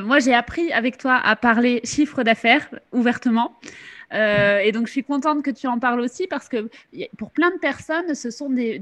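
A woman's voice: on the high side, a bit bright. A woman hosting an interview, enthusiastic, with fillers and restarts. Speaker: podcast host, interviewer. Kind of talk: casual interview talk.

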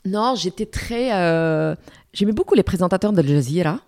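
A woman from Morocco in her forties, a journalist and news presenter, telling her career story in an interview in a lively way, with restarts and fillers. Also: fairly low pitch, moderate pace, no echo, clean studio sound.

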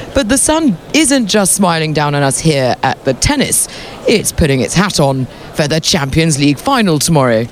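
A woman's voice: deep voice, a little hiss or hum. A woman hosting a sports podcast, interviewing a guest on location at a tennis venue in a playful, teasing way. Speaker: interviewer and show host.

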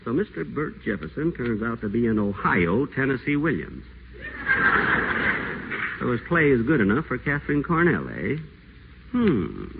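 An actor playing an acerbic, pompous radio celebrity who schemes, in a 1940s radio play. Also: male voice, medium pitch. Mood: scheming. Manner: sardonic, theatrical, wheedling on the phone. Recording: tinny old radio broadcast, faint hiss.

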